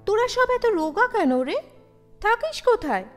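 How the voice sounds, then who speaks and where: A woman's voice: very high-pitched, sing-song, normal speed, soft background music, voice pushed up. A woman voicing a kind-hearted, motherly Bengali housewife who chides with affection in an audio story. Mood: fond, curious. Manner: colloquial, affectionate scolding.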